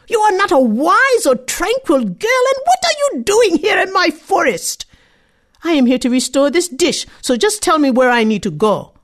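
A shrill, bossy old crone of the forest, half woman and half creature, barking orders in a high, swooping voice, as a woman storyteller puts her on. Her pitch leaps and dips, and every line comes out sharp and commanding. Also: heavily acted. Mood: scolding.

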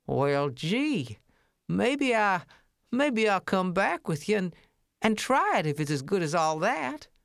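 Man doing a timid country mouse, high gentle voice, halting and folksy, slow, dry sound. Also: big pitch swings, gravelly, no echo, heavily acted. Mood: wondering.

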